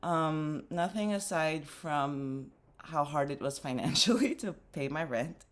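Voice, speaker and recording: A woman's voice: deep voice, animated, measured pace. A young woman from the Philippines, a dancer and artistic director, talking reflectively in a casual interview with many fillers and restarts.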